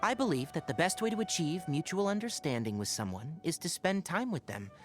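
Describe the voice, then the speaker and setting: Lively, scholarly young fox-eared forest ranger. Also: male voice, a little high, a little fast, soft background music. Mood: wry, measured. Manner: articulate, instructive, gently wry. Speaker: video game voice actor. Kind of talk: scripted game character voice lines.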